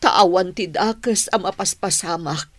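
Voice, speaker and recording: A woman's voice: lowish voice, unhurried, no echo. A woman acting in an Ilocano radio drama as an anxious, caring figure, earnest and dramatic. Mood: worried. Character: anxious, caring woman fretting over loved ones.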